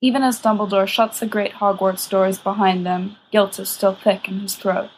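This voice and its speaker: A woman's voice: medium pitch, fairly even intonation, moderate pace, slight background noise, poor audio. A woman reading a story aloud as scripted audiobook narration. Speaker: audiobook reader.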